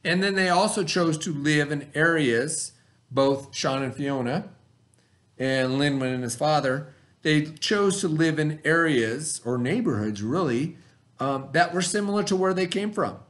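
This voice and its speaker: A man's voice: on the high side, measured pace, a bit bright. A man speaking as a teacher in a read-aloud lesson, explaining simply and posing questions that he answers himself.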